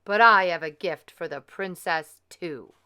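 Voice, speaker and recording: A vengeful wicked fairy from a storybook, as a woman reader puts her on. She declaims with menace and heavy emphasis, in a forceful, clear voice. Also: lowish voice, a little slow. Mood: menacing.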